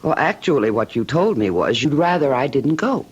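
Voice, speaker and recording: Casting: skeptical, fretful wife in a vintage radio play, expressive swoops, muffled sound. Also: female voice, a bass voice, normal speed, slightly rough, full character voice. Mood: exasperated. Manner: coaxing, skeptical, exasperated. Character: exasperated, worried, sensible wife nagging stubborn husband. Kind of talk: old-time radio drama acting. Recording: muffled, noisy old radio recording.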